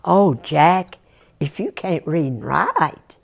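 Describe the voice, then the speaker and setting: A woman's voice: deep voice, lively intonation, slow pace, gravelly, heavily acted. A woman storyteller voicing a kindly middle-aged country storekeeper with a folksy drawl.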